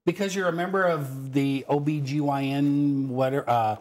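A man's voice: medium pitch, moderate pace. A middle-aged man hosting a local television interview show in a studio, talking in a folksy, chatty way. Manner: folksy, chatty, lively intonation. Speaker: TV talk show host. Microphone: lapel mic.

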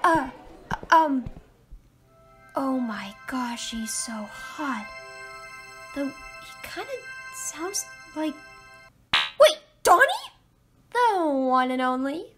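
Young woman, high and bouncy, giggly flustered teen girl for a comic dub, slow delivery. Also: animated, music in the background. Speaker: voice actor.

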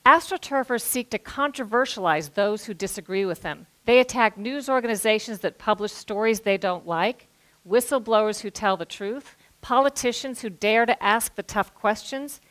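A middle-aged woman with an American accent gives a prepared stage talk in a measured, articulate way, speaking as a journalist. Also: medium pitch, a bit bright. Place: auditorium stage before an audience. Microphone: headset.

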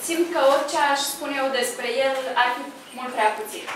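A young woman with a high voice opens an event for a small audience in a friendly, informal way. She is heard from a distance in an echoey room with steady noise behind her. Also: fairly quick. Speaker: event organizer. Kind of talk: event introduction. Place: small cafe room, indoors, live audience. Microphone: far camera. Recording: echoey room from far off, noisy.

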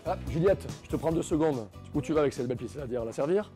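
A man's voice: fairly high pitch, lively intonation, rapid-fire, over music. A man, hosting a TV food segment in a small wine bar, chatting in a playful, teasing way on a lapel mic. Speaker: TV food show host. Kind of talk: chatty restaurant presenting.

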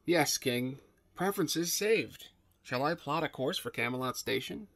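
A man's voice: medium pitch, animated, a little slow, a bit bright, no room sound. A man playing a polite, deferential ship's AI with formal, precise phrasing in an audio drama. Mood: courteous. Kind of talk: audio drama table read.

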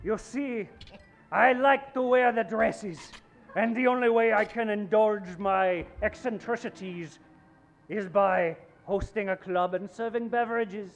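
A man's voice: high-pitched, unhurried, lo-fi sound, faint music behind. A man playing an aggrieved club owner with a secret love of dresses, plaintive and theatrical, in radio comedy. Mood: confessing. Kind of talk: old-time radio comedy acting.

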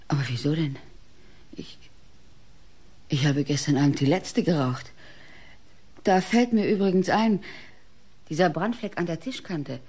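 An actress playing an artist's proud, bitter wife, indignant and defensive, in a radio crime play. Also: female voice, low voice, mellow, slightly rough. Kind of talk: German radio play acting.

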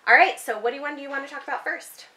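A woman's voice: sits high, rapid-fire, over loud music. A woman in her thirties chatting casually and encouragingly, asking coaxing questions as if to a young child and echoing back answers. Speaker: book vlogger and mother interviewing her young child. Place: small room with bookshelves, indoors.

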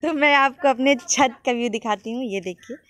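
A woman's voice: on the high side, moderate pace. A young woman filming a casual family vlog on her phone outdoors, chatting and teasing her sisters and laughing often. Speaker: vlogger. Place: outdoors in a village courtyard and rooftop garden. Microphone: handheld phone camera. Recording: phone audio with loud outdoor noise.

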